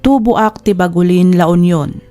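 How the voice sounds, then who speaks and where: Mellow Ilocano woman narrator over music. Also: on the low side, unhurried. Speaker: drama narrator reading a listener's letter. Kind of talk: scripted narration.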